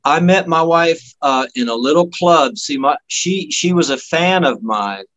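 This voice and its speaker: A man from Miami, Florida, a guest musician telling casual anecdotes in a halting, folksy, jokey way. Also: fairly high pitch, lively intonation, fairly bright tone. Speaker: guest musician, singer-songwriter.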